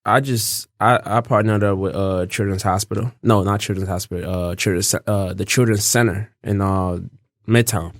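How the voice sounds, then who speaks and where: A young man from Los Angeles talks in an unhurried, rambling way, often circling back and restarting his thoughts. His voice sits deep and low. The sound is dry and close, with no room around it. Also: steady tone, dry recording. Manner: laid-back, rambling, frequent fillers and restarts. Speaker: guest. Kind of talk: casual interview chat.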